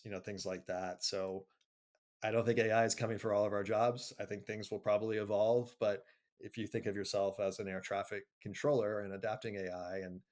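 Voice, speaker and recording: Low-pitched man giving considered interview answers. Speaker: interview guest, marketing agency executive.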